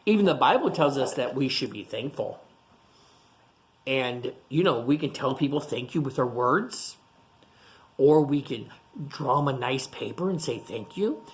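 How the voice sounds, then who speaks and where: A low-voiced woman reads to young children as their teacher. Her pitch swings widely as she tells the story, and she explains things in simple, child-directed words. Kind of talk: picture-book read-aloud with simple explanations to young children.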